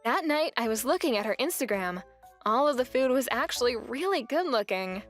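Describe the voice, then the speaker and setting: A woman's voice: fairly high pitch, lively intonation, moderate pace, faint music behind, natural voice. A young woman playing an indignant, resolute wife who narrates her story expressively in a manga dub.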